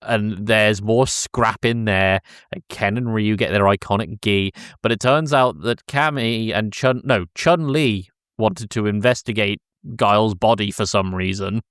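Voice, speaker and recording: A man co-hosting a podcast, casually recapping a film in a deadpan, exasperated comic manner. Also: on the low side, measured pace, clear, resonant tone, dry recording, studio quality. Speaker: podcast co-host.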